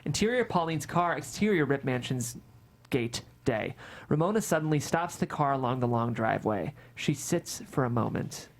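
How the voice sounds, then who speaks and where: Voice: mid-range voice, animated, moderate pace. A narrator reading scripted screenplay stage directions aloud at a table read.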